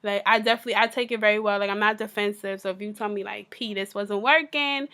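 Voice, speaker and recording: A woman co-hosting a podcast in casual chat, her voice raspy from a sore throat, talking in a frank, laid-back way. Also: medium pitch, steady tone, fast, bright, forceful tone. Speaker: podcast co-host.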